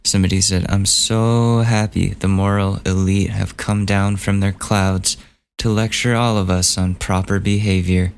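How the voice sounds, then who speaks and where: A man hosting a solo podcast, losing his voice and hoarse, casually reading out gossip items and swearing as he thinks aloud. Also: low-pitched, little pitch movement, a little slow. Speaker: podcast host.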